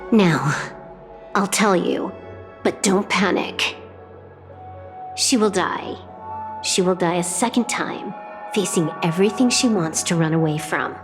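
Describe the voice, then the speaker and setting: A commanding woman strategist from a video game. Her lowish voice is dry and blunt, with an unruffled, portentous delivery. Music plays clearly behind her. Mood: ominous. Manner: dry, matter-of-fact, portentous.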